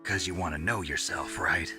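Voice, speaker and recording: Man voicing a deep, gruff, terse game soldier. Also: fairly even intonation, normal speed, natural voice. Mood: stunned, serious. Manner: terse, low, questioning. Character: gruff, world-weary male special forces soldier. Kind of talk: dramatic video game voice acting. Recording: loud music bed under voice.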